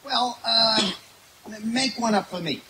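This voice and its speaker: A man's voice: very high voice, measured pace, pushed and bright, exaggerated voice, low-quality recording. A man playing a dry, patient straight man in 1940s radio comedy, hesitant and bemused. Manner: hesitant, bemused, repeats things back. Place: outdoor night stage in woods.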